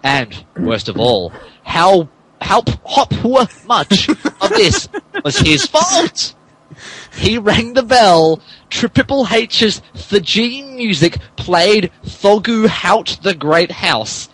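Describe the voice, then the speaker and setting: A man's voice: high-pitched, wildly swooping pitch, very slow, a bit bright, slightly rough, poor audio. A man narrating a comic dramatic reading in a mock-serious way, often stumbling over his words. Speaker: podcast host reading as narrator.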